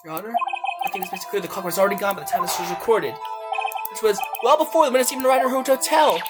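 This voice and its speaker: A woman's voice: medium pitch, racing, loud music behind the voice, lightly acted. A woman voicing an earnest, dogged young male defense lawyer in a video game fan dub. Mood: confident.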